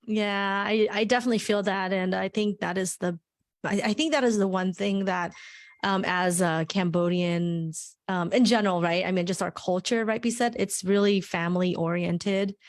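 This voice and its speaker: A woman hosts an interview in a friendly, chatty way, full of "you know" and restarts. Her voice sits at a middle pitch and sounds dry and close to the mic. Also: fairly even intonation, moderate pace, no echo. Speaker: podcast host. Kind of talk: casual interview conversation.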